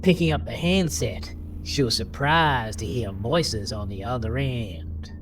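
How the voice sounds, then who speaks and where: Slow, dramatic male horror narrator over music. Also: mid-range voice.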